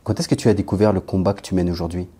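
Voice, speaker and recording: A man's voice: deep voice, steady tone, very fast, mellow, husky. A man interviewing off-mic, asking short direct questions that push back a little.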